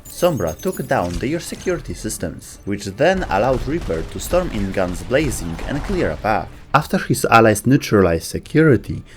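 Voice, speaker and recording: A man's voice: fairly low pitch, big pitch swings, normal speed, hardly any pauses, slight background noise. A man delivering scripted storytelling narration for a video game lore video. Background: faint background music.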